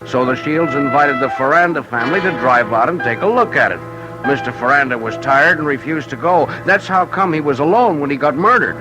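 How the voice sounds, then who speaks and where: An actor playing a folksy, wry informant in a 1940s-style radio crime drama. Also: male voice, mid-range voice, lively intonation, brisk, close to an everyday voice, low-quality recording. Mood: confiding. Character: folksy wry male informant telling what he knows. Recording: old radio drama, music behind.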